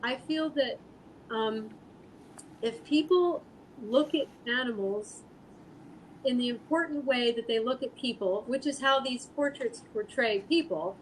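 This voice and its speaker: A woman speaking as an interview guest, answering casually in an earnest, halting, thoughtful way. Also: on the high side, slight background noise, rough recording. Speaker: guest artist and animal rescue volunteer.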